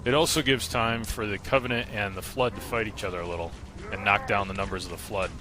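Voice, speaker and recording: A man's voice: on the low side, fairly even intonation, quick pace, rough recording. A man giving matter-of-fact, explanatory gameplay commentary as the narrator of a video game walkthrough. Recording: loud game audio behind voice. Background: shooter game sound effects.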